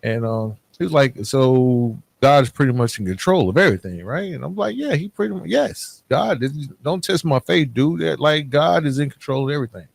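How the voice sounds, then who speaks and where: A man's voice: medium pitch, expressive, brisk, gravelly, few pauses, no room sound. A middle-aged man telling a personal story casually, informal in his wording and acting out both sides of remembered exchanges. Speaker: podcast guest telling his own story. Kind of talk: casual storytelling with re-enacted dialogue.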